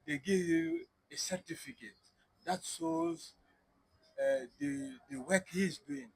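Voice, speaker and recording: A man interpreting between guest and host, relaying slowly with halting pauses and fillers. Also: fairly high pitch, breathy edge, poor audio. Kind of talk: interpreting in conversation.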